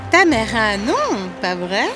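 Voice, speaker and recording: A woman's voice: on the high side, very animated, a little slow, pitched below the performer's own voice. A woman voicing a curious village woman questioning a stranger in a fairy-tale cartoon. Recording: music behind the voice.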